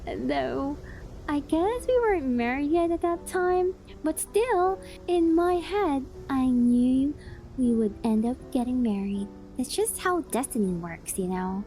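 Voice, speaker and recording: A woman's voice: high voice, animated, slow pace, warm tone. A young woman voicing a possessive, doting fox-spirit wife in a cutesy, affectionate ASMR roleplay. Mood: fond, reminiscing. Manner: cutesy, clingy, affectionate, with breathy gasps and giggles. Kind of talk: ASMR roleplay, addressing the listener.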